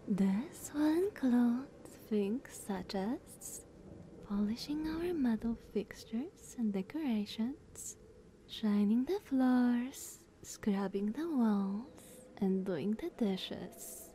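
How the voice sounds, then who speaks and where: A woman playing a dominant vampire sister in an ASMR roleplay, speaking softly and breathily with sweet condescension. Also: fairly high pitch, animated, drawn-out words, a little hiss or hum, low-quality recording. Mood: commanding. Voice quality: soft, breathy, often whispered.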